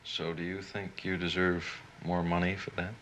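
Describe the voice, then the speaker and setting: A man's voice: sits low, level tone, moderate pace, a bit bright. A man working as a television interviewer puts probing questions in an interview. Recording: clear noise, 1970s archive TV film.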